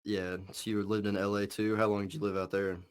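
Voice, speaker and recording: A man's voice: on the low side, level tone, fairly quick, smooth. A man hosting a podcast, chatting in a laid-back, slangy way. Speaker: podcast host. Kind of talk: casual interview.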